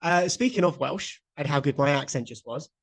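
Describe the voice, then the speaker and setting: A man's voice: fairly high pitch, lively intonation, moderate pace. A man co-hosting a podcast, chatting casually with strong opinions and the odd swear word. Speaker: podcast co-host. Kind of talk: casual chat.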